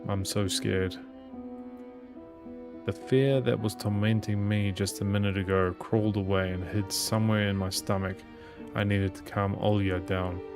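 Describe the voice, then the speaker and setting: A man's voice: fairly low pitch, little pitch movement, unhurried, over music. A man reading a visual novel's narration and dialogue aloud as a gaming host.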